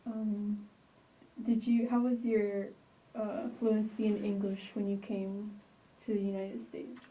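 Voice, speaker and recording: A woman's voice: medium pitch, level tone, slow, mellow. Woman interviewer, slightly noisy distant mic. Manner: tentative, rephrasing questions. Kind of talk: conversational interviewing. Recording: distant mic with faint noise.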